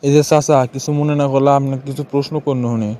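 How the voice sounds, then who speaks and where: A man's voice: medium pitch, little pitch movement, fast talker. A man with a Bogura accent from northern Bangladesh, hosting a comic cartoon channel with informal banter. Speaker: cartoon channel host playing the questioner. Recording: noisy recording with clear background noise.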